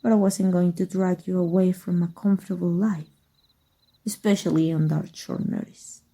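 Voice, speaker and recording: A woman from Mexico voicing a scheming former magic teacher in an ASMR roleplay, speaking softly in a teasing half-whisper. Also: on the low side, fairly even intonation, normal speed, creaky. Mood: sly teasing. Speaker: voice actor.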